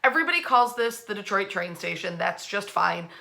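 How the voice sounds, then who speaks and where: A woman hosting an informal history storytime from her bed at home, chatty and animated, using colloquial phrasing as she speaks to an online audience. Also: medium pitch, brisk, vocal fry. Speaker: host of a nightly history storytime.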